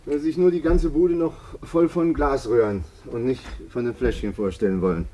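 An actor playing a chatty, sentimental middle-aged hairdresser in a radio play, rambling and colloquial, full of excuses for himself. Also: male voice, mid-range voice, steady tone, normal speed, warm tone, a little hiss or hum, close to an everyday voice, poor audio. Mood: wistful, deferential. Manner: rambling, hesitant, colloquial storytelling. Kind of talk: German radio play acting.

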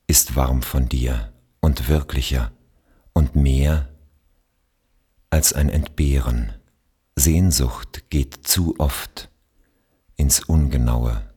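A man from Austria reading poetry aloud in a measured literary recitation, with long pauses between phrases and a breathy, husky voice. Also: extremely low pitch, darkish tone.

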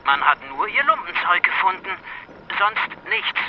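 An obedient military officer in a radio play. His voice is high, formal and clipped, with a dull lo-fi sound and faint noise behind. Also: very animated, unhurried. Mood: dutiful, nervous. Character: obedient, deferential Turkish army officer reporting. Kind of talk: German radio drama acting.

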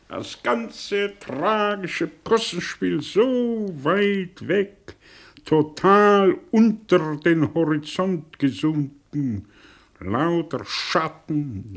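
An actor playing an older, sardonic, brilliant fallen intellectual in a German radio drama, speaking theatrically with rhetorical pauses and swells. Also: male voice, fairly high pitch, fairly bright tone, exaggerated voice. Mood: resigned, grandiose. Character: aging, theatrical, sardonic man, brilliant fallen intellectual confessing his past.

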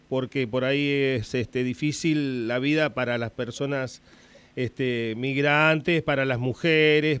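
Man interviewing, smooth dry voice. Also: a little high, dry recording. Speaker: radio host, interviewer. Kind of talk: radio interview.

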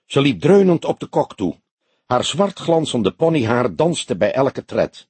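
A male narrator reads detective fiction expressively. His voice is medium in pitch with lively movement and a husky grain, in a dry, close-sounding audiobook recording. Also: unhurried, no room sound.

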